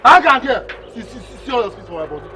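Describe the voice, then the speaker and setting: A man's voice: extremely high pitch, very animated, fast, sharp tone, soft background music, full character voice. A man with a Nigerian Pidgin accent voicing a grumbling gateman who scolds and complains in exasperation. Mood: indignant.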